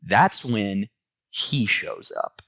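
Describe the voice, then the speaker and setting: A man's voice: fairly low pitch, very animated, drawn-out words, vocal fry, pushed and bright, no echo. A man hosting a comic book review, giving enthusiastic, semi-scripted commentary.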